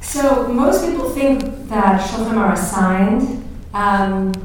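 A woman recounts her experiences in a live interview. She speaks in a measured way, pausing often with "um.". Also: mid-range voice, a little hiss or hum. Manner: measured, with pauses and fillers. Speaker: co-host and Chabad emissary. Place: live recording before an audience. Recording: echoey room from far off.

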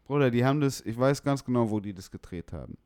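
A man's voice: medium pitch, lively intonation, fast, darkish tone, clear, resonant tone, no echo, clean studio sound. A young man from northern Germany chatting casually about music, slangy, blunt and opinionated. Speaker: music producer, podcast guest.

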